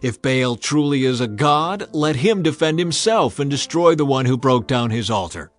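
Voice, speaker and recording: A man acts out a defiant biblical father standing up to a mob. He is loud, forceful and challenging, in a scripture drama over music. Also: mid-range voice, animated, normal speed, natural voice.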